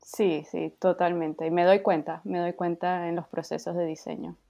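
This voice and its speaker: A woman's voice: fairly low pitch, little pitch movement, moderate pace, vocal fry. A woman in her thirties from Venezuela with a Venezuelan accent, a guest chatting on a podcast over a video call, laughing lightly between thoughts. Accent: Venezuelan Spanish. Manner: warm, laughs lightly, many fillers. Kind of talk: casual interview chat. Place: home, in France.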